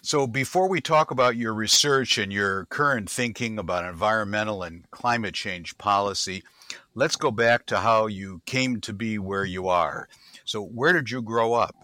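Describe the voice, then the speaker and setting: A male podcast host introduces his guest and leads the interview. His fairly low voice has a bright edge and sounds close and dry. He listens attentively and keeps things moving with short questions and mm-hmms. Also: normal speed, no room sound.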